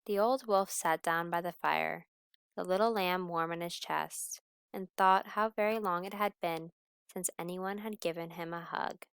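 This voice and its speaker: A woman reads a children's story with expression and an unhurried pace. Her voice is smooth and clear, in a medium register, recorded very dry and close. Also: fairly low pitch, steady tone, dry recording. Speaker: storyteller reading a picture book aloud.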